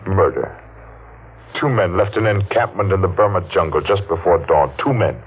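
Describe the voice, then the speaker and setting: An actor playing a menacing masked man seeking revenge, taunting and deliberate, in 1940s radio acting style. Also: low voice, breathy edge. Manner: taunting, slow and deliberate, laughs mockingly. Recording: muffled old radio recording.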